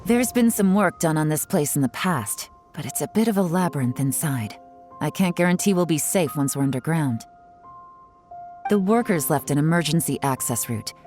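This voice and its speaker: A shrewd woman spymaster from a video game. Her voice is low, cool and measured, giving out plans with sly authority. Music sits underneath. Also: expressive, darkish tone. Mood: cautious, assured. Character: shrewd, composed young female spymaster in command. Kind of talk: dramatic game voice acting. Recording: music behind the voice.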